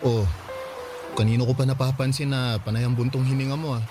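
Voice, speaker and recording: A man's voice: medium pitch, little pitch movement, a little fast, music in the background, natural voice. A man playing a kind young boyfriend. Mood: gentle. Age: young adult. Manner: gentle, reassuring.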